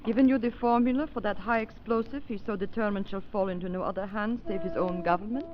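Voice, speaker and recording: Shrewd, cool actress as a spy countess, old radio. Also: female voice, mid-range voice, quick pace, natural voice. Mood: cool, cautioning. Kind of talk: 1930s radio drama acting. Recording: dull, noisy 1930s radio broadcast.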